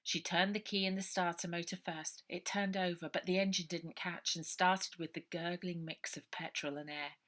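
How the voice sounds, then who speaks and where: A woman author reading her own children's novel aloud as expressive narration. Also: lowish voice, moderate pace, hardly any pauses.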